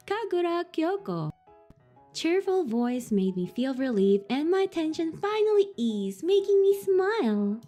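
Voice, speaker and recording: A woman's voice: very high-pitched, sing-song, slow pace, dark tone, faint music behind. A woman streaming a horror visual novel, reading the on-screen text aloud between giggles and startled swearing. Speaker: streamer playing a horror game.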